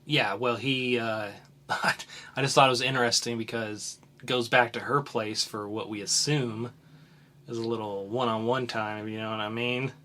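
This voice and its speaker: A man co-hosting a casual comic book podcast, joking around and reading out credits with bouncy energy. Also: normal speed. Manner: jokey, bouncy, goes high and loud when excited. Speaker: podcast co-host. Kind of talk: casual comic review chat.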